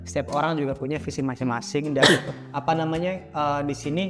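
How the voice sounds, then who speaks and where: A man's voice: fairly high pitch, fairly even intonation, fairly quick. A man hosting a casual podcast interview in a chatty, informal way, often checking for agreement. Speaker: podcast host. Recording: reverberant room with background music. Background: music.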